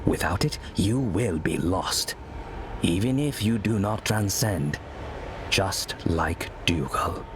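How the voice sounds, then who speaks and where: A wise, grave mentor, gives counsel in a deep voice and at a slow, measured pace. It is solemn fantasy game acting with clear background noise. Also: male voice, expressive. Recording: noisy game ambience behind voice.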